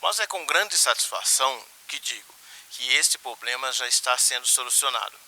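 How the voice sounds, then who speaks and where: A man playing a government minister who gives a measured, formal, reassuring statement in a mock television news interview. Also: mid-range voice, lively intonation, sharp tone, low-quality recording. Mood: official reassurance.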